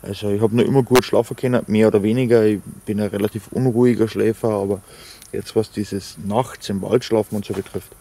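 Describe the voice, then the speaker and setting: A man's voice: fairly low pitch, fairly even intonation, brisk, warm tone. Man chatting at night camp. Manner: rambling, talks to his dog, fillers. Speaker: outdoor vlogger. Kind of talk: casual talk to camera. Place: forest camp at night, outdoors.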